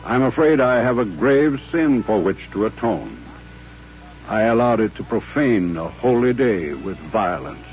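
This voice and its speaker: An actor playing a devout, proud old colonel in a radio drama, speaking in formal, measured, solemn phrases. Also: male voice, fairly low pitch, lively intonation, darkish tone. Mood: grave, penitent. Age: older. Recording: dull old radio recording with faint music.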